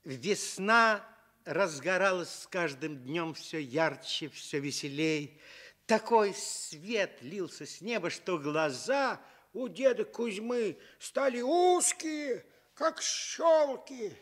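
A man narrating a fairy tale for Soviet radio, tender and expressive, with dramatic pauses. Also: high-pitched. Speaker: storyteller.

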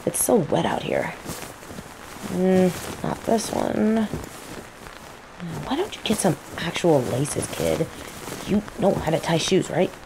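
A woman playing a cocky, teasing female mage who controls plants, in a scripted roleplay audio drama, with an unhurried, playful drawl. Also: lowish voice, creaky, exaggerated voice. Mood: teasing, searching. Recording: steady rain ambience noise. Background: falling rain.

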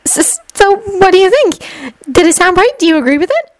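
Very high-pitched, timid anime schoolgirl. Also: big pitch swings, a little fast, dry recording. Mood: timid, hopeful. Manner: pleading, earnest, easily flustered. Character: timid, excitable young schoolgirl fortune teller.

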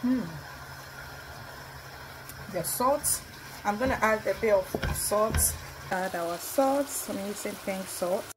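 A woman walks through a recipe in an unhurried, gentle voice at medium pitch. She talks from her home kitchen while food fries and sizzles clearly behind her. Her tone stays affectionate, warmly addressing her viewers. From Ghana. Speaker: cooking vlogger. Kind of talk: step-by-step cooking narration. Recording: sizzling frying noise behind the voice.

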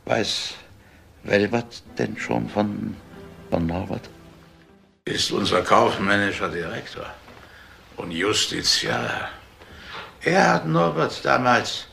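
An actor playing a weary, grieving elderly company owner with a gravelly, husky voice in a crime radio drama. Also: male voice, lowish voice, bright, forceful tone, exaggerated voice. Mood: faltering. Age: older.

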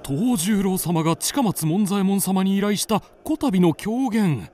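An actor playing an excitable, gossipy old-time kabuki player in a radio drama, with theatrical archaic speech. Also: male voice, high voice, measured pace, slight background noise. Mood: awed. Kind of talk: period Japanese radio drama acting.

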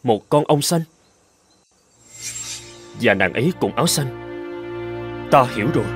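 A man acts out a young scholar from an old folktale cartoon. He is earnest and lovelorn, musing aloud in a mid-pitched voice with tender, formal phrasing. Music plays underneath. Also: lively intonation, unhurried, a bit bright. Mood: tender, wistful. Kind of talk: dramatic cartoon voice acting.

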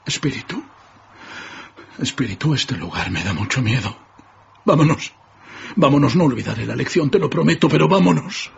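An actor playing a frightened, repentant old miser in a radio drama, imploring and dramatic, with a gravelly voice. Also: male voice, mid-range voice, animated, moderate pace, long pauses, noisy background. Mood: fearful pleading. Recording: dull sound under clear music.

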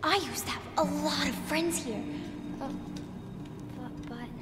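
An actress voicing a lonely, eerie little ghost girl in a video game, childlike and halting, with a stammer in her phrasing. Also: high voice, heavy background noise. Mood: wistful, evasive. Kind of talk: cinematic video game acting. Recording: cavernous long reverb under loud music.

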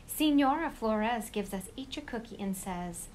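A woman reading a children's picture book aloud in an expressive storytelling style. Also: fairly high pitch, unhurried, slight background noise.

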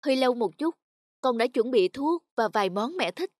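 An actor voicing a devoted, anguished young peasant son in an animated folk tale, earnest and pleading. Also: mid-range voice, moderate pace, no room sound, studio quality, natural voice. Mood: anxious.

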